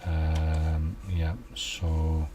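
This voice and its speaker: Soft-spoken low-voiced man gaming. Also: completely flat delivery, background noise. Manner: quiet and unhurried, musing aloud with many fillers. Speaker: gameplay streamer. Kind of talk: casual let's-play commentary.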